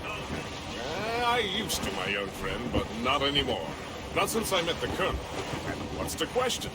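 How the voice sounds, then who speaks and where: Hearty older first mate, highish. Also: male voice, big pitch swings, moderate pace. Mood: reassuring. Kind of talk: video game cutscene acting. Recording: loud wind and sea noise.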